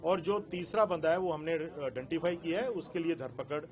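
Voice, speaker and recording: A man with a Punjabi accent speaking as a senior police officer at a press briefing, explaining things haltingly. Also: fairly high pitch. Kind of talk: press briefing in Hindi mixed with English.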